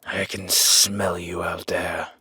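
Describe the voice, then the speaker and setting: A man voicing a gruff, wary werewolf in a fantasy audio roleplay, brooding and terse, with a breathy, menacing growl. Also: low-pitched, level tone, takes it slowly, pushed and bright, a little hiss or hum, full character voice. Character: gruff, wary male werewolf living in the wilderness.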